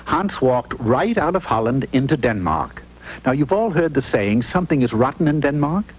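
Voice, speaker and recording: Narrator, played by a man: animated, mock-earnest fairy tale storyteller on noisy vintage cartoon audio. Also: medium pitch, normal speed, lightly acted. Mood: storytelling. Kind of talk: scripted comic cartoon narration.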